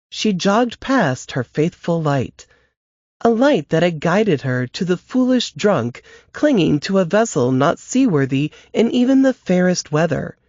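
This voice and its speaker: A woman hosting a podcast who reads a novel excerpt aloud in a measured, expressive storytelling manner. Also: fairly low pitch, no room sound. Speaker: podcast host reading an excerpt. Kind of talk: scripted narration of a novel excerpt. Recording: dry, dull lo-fi podcast audio.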